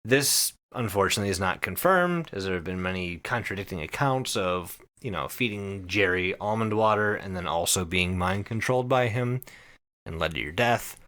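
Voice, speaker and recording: A man hosting a podcast, explaining things casually and comedically to friends in an animated, sweary, conversational way. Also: low-pitched, moderate pace. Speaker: podcast host.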